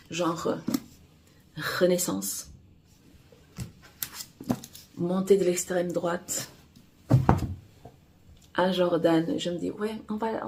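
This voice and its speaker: A woman whose first language is Portuguese talks casually about her writing. She speaks slowly and unhurriedly, pausing often with fillers and restarting her sentences. Her voice sits a little low for a woman. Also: dark tone, slight background noise. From Angola. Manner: halting, many fillers and restarts. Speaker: romance author and publisher. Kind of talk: casual vlog chat.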